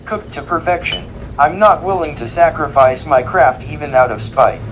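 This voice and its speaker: A man playing a pedantic robot chef in a comedy audio drama, speaking in a formal, precise, deadpan way. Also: on the high side, moderate pace, background noise, close to an everyday voice. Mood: dry, pedantic. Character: dry, pedantic robot chef with wounded pride.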